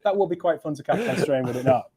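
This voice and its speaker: Upbeat Yorkshire man, higher voice. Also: animated, fast, warm tone, husky. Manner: upbeat, chatty, reading out viewer comments. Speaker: livestream host. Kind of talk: casual live stream chat.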